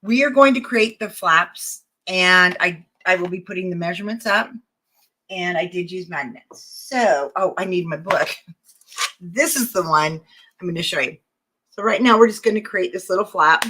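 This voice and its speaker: A woman's voice: on the low side, normal speed, sharp tone, a little hiss or hum. An older woman teaching a craft tutorial, chatting and greeting viewers as she works, her voice wearing thin. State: losing her voice. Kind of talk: live-streamed craft tutorial.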